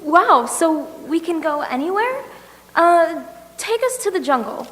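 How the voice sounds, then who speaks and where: A woman co-presenter trades scripted banter on stage. Her voice is high, and it comes with hall reverb and noticeable background noise. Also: big pitch swings, measured pace. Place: stage in a hall, live audience.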